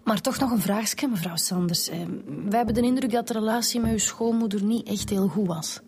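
An actress with a Flemish accent playing a frank, matter-of-fact police detective in a conversational radio drama style. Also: female voice, a little high, brisk, noisy background, natural voice. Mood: probing. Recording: faint background music.

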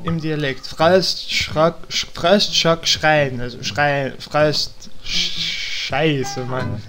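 A man's voice: on the high side, extremely slow, over music. A man playing a wisecracking, grumbling spellcasting hero in a comic fantasy audio drama. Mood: frustrated.

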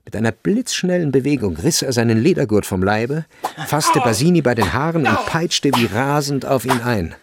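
A man's voice: mid-range voice, animated, normal speed, slight background noise. A man narrating a literary story as part of a radio play.

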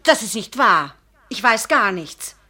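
An actress playing a proud, tender-hearted young woman in a literary radio drama, earnest and emotional, moving between hushed and vehement. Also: mid-range voice, sing-song, unhurried, exaggerated voice. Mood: agitated. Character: young Charlotte Löwensköld, proud, impassioned, tender-hearted jilted fiancée.

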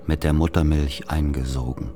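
A man narrating a literary radio play in the first person as someone recalling a rural childhood, measured and reflective. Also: rumbling low, steady tone, mellow, slight background noise.

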